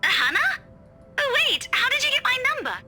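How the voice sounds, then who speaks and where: A woman's voice: extremely high pitch, sing-song, a little fast, sharp tone, faint music behind, full character voice. A woman playing a friendly, awkward young schoolteacher in a visual novel, speaking in informal, clipped, hesitant phrases. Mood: surprised.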